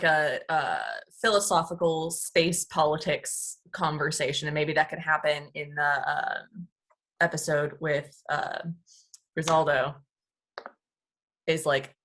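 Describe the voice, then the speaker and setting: Casting: woman, cast member, slightly low pitch, bright punchy voice, informal feedback delivery. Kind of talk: casual feedback discussion.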